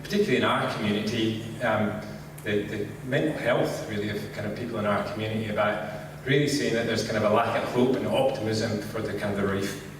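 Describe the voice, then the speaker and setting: A middle-aged man from Scotland with a Scottish accent, giving a relaxed conference talk and saying "kind of" constantly. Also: lowish voice, a little fast, background noise. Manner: relaxed, filler-heavy, says "kind of" constantly. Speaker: conference speaker. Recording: echoey hall, distant mic.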